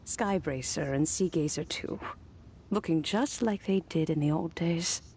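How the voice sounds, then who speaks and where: A woman playing a kindly old granny in a video game, speaking in a measured, reflective and gentle way. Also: low-pitched, slightly rough, full character voice. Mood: reflective, tender. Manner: measured, reflective, with soft sighs. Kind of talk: scripted video game dialogue.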